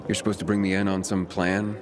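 A man plays a gruff wandering mercenary, the hero of a video game. He speaks fairly low, in blunt, guarded phrases, over music. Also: flat delivery, quick pace, natural voice. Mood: wary, probing. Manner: terse, blunt. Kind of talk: video game character acting.

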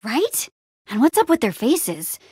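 A woman playing a plucky, bossy but encouraging young adventurer heroine in a video game, with an expressive, casual, peppy delivery. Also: a little high, measured pace, breathy edge, dry recording, studio quality, full character voice. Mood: focused, determined. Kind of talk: animated adventure game dialogue.

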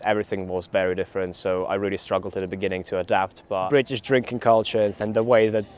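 A young man from Ukraine speaks in a rather low voice with a smooth, resonant sound. He answers interview questions fluently and thoughtfully. The recording was made outdoors in a park. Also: darkish tone. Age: young adult. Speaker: student interviewee.

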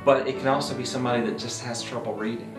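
A man's voice: mid-range voice, steady tone, quick pace. A man in his fifties explaining his work in an interview. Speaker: eye care practitioner. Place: eye exam room indoors. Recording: roomy, music underneath. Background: guitar music.

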